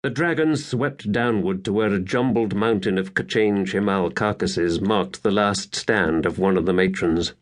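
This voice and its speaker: A man narrating an epic fantasy audiobook in a measured, theatrical, scripted style. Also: on the low side.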